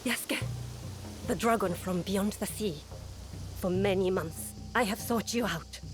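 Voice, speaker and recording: A woman's voice: medium pitch, soft background music, lightly acted. Woman acting a proud, unyielding warrior, faint score. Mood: formal, resolute. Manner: formal, deliberate, poetic phrasing. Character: proud, unyielding female naginata warrior, earnest and poetic. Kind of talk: dramatic video game acting.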